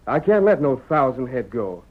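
An actor playing an uneasy, stubborn cowhand with a folksy American accent in an old-time radio western. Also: male voice, mid-range voice, sing-song, normal speed. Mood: defiant. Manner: folksy, wary. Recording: thin, phone-like old radio recording.

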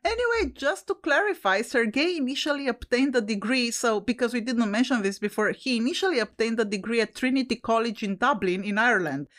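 A woman's voice: a little high, a little fast, few pauses, a bit bright. A woman co-hosting a podcast with an Italian accent, chatting casually, laughing often and swearing now and then. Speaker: podcast co-host.

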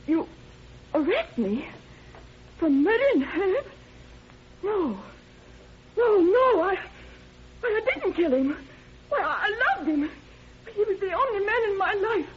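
A woman playing a frightened accused widow in an old radio drama, pleading in halting, repeated words. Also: extremely high pitch, very animated, full character voice. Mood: distraught, pleading. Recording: muffled vintage radio recording.

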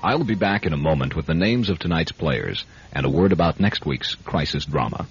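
A man's voice: rumbling low, animated, brisk, slightly rough. A man hosting a radio drama series with scripted announcing. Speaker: announcer and host. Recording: dull radio recording over music. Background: music.

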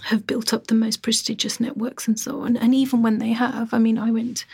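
A woman historian giving measured, emphatic answers in an interview. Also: a little high, level tone, gravelly, a little mellow, husky. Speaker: historian, guest.

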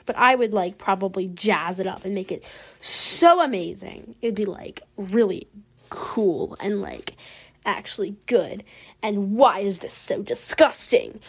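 A young woman with a Southern American accent hosting a casual solo podcast, rambling and giggling while she draws. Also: medium pitch. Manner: rambling, giggly, animated, lots of fillers. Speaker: podcast host.

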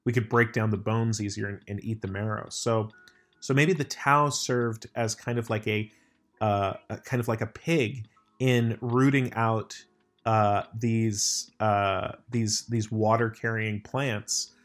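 A man's voice: on the low side, steady tone, measured pace, faint music behind. An American man hosting a casual chat, rambling with lots of restarts and filler words. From United States.

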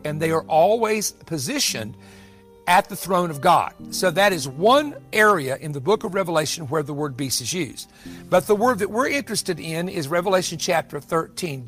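A man's voice: high voice, a bit bright. A man preaching in a Bible-teaching style, explaining things emphatically and restating his points as he goes. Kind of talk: sermon-style Bible teaching, partly off the cuff.

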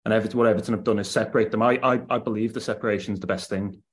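Low-voiced man giving football analysis. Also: steady tone, rapid-fire. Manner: analytical, weighs points at length. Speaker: football writer and podcast pundit. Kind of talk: casual podcast discussion.

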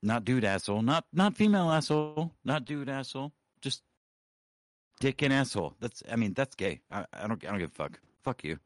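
A man's voice: medium pitch, big pitch swings, a little fast, no room sound. A man co-hosting a livestream talk show, bantering loosely and brashly with heavy swearing.

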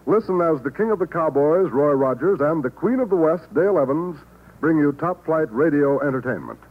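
A man's voice: a little high, animated, normal speed. An American man announcing a 1950s network radio show in a scripted, dramatic, emphatic style. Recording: tinny, narrow-band old radio broadcast.

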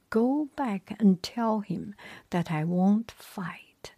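A proud young Greek hero sulks and refuses, his words whispered slowly by a woman reading aloud. The breathy hush stays close and dry, with no room around it. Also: medium pitch, no room sound.

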